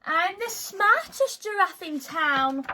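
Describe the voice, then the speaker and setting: A kind and proud storybook giant man, played by a woman, in a very high, bright voice. He is cheerful and sing-song. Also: expressive, slow, full character voice, poor audio.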